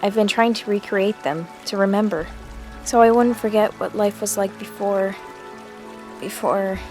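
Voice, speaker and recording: A woman's voice: medium pitch, steady tone, measured pace, darkish tone, lightly acted. Woman as earnest teenage girl diarist, music underneath. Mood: shy, tender. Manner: reflective, confiding. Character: earnest teenage girl surviving a zombie apocalypse. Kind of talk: audio drama acting with diary narration.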